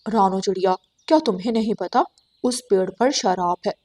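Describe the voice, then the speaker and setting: A woman's voice: medium pitch, normal speed, dark tone, voice pushed down, lightly acted. A woman voicing a grave, superstitious forest bird in a cartoon, explaining things solemnly. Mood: ominous.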